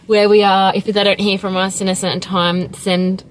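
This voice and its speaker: A woman's voice: medium pitch, flat delivery, normal speed, slight background noise, rough recording. A woman vlogger chatting casually in an informal, sweary, giggly way. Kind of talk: casual vlog chat.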